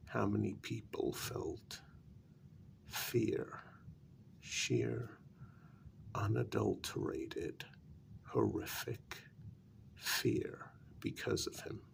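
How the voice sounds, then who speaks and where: A haughty male vampire, acted by a man for an ASMR role-play, speaks extremely slowly and deliberately in a low, very gravelly voice. He stays hushed and half-whispered, formal and condescending, with dry amusement and a civil but predatory edge. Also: exaggerated voice. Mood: cold, menacing. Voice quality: breathy, gravelly near-whisper.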